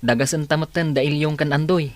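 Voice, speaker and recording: Amiable rural co-worker played by a man, slightly raised pitch, radio-play style. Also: fairly even intonation, quick pace, natural voice. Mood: friendly, inviting. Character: friendly male village farm co-worker recruiting help. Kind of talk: Ilocano audio drama acting.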